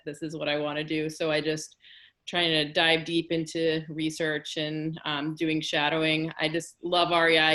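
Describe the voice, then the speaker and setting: A woman's voice: sits low, a bit bright. A woman fertility fellow introducing herself casually on a webinar, with many fillers.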